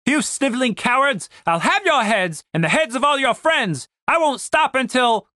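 A blustering captain of the guard, played by a woman, pitched high with panic. He is indignant and threatening, a pompous man in a comic fantasy audiobook, with very dry sound. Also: animated, moderate pace, sharp tone, no echo. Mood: furious.

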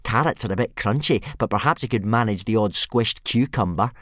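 A man plays a swaggering young Viking bully who sneers and mocks in a raised, lively voice. The sound is close and dry. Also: medium pitch, a little fast, dry recording, pitched above the performer's own voice, close to an everyday voice. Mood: sneering. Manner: mocking and sneering.

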